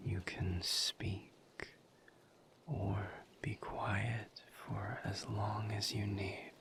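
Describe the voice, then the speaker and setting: A man voicing a gentle, patient misery demon in a breathy whisper, as an empathetic confidant in an intimate audio roleplay. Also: on the low side, level tone, background noise, heavily acted. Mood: gentle, consoling. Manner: slow, halting, soothing, with long pauses.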